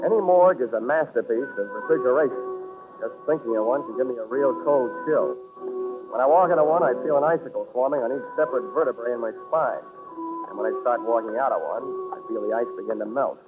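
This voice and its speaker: A man's voice: medium pitch, quick pace, music in the background, lightly acted. An American man playing a tough, sardonic private investigator in a 1940s radio drama, speaking in clipped, wry wisecracks. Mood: brooding narration. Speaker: lead actor and narrator. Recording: tinny, noisy old radio recording.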